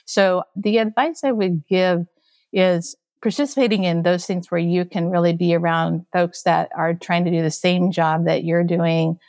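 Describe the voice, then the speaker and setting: Guest, woman from Okinawa: low, gravelly voice, earnest and conversational. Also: fairly even intonation, moderate pace, darkish tone. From Okinawa, Japan. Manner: earnest, acts out quoted exchanges. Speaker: guest, school technology leader. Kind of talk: casual interview talk.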